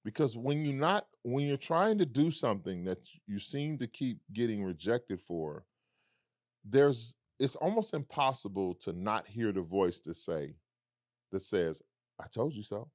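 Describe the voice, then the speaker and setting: A man with a low, deep baritone speaks in a reflective, storytelling way. He acts out remembered dialogue as he goes. The sound is close and dry, with no room around it. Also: animated, measured pace, darkish tone, dry recording. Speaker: musician guest. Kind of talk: casual conversation.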